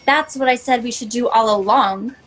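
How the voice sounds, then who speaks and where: Brief: contrary, mischievous female ship entity played by an actress, high voice, blunt, faint background noise. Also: moderate pace, lightly acted. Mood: defiant. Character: brash, mischievous shape-shifting spaceship crewmate, blunt. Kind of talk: sci-fi satire audio drama acting. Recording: noisy home video-call audio.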